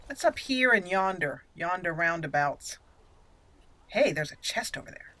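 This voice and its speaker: A woman's voice: on the low side, expressive, measured pace, sharp tone. A woman hosting a roleplay let's play, chatty and playful, thinking aloud as she goes. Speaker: gaming host.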